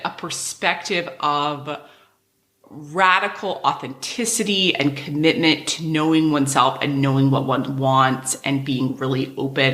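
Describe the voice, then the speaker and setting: A woman's voice: sits low, a bit bright. A woman in her thirties hosting a podcast, talking animatedly and often laughing. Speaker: podcast host. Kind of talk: casual podcast chat. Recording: echoey room.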